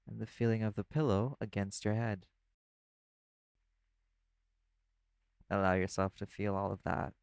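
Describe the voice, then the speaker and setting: A man playing a laid-back, caring vampire in an audio roleplay, talking the listener toward sleep in a casual, gently instructive way. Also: on the low side, gravelly, clear, resonant tone, dry recording. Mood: calm, soothing. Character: laid-back male vampire companion, teasing yet caring.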